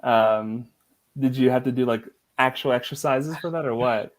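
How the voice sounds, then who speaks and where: A man hosts a relaxed podcast conversation, asking long, winding questions. He answers the guest with short murmurs of agreement. His voice sits at a middle pitch. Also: normal speed. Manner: frequent short backchannels, asks long questions. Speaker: podcast host. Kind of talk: casual podcast interview.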